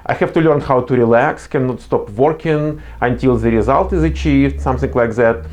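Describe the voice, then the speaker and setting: A man's voice: medium pitch, moderate pace, a little mellow, slight background noise. A man with a non-native accent gives earnest, explanatory job interview advice, with frequent fillers and restarts. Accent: non-native English. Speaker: career advice presenter. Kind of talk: instructional talk to camera.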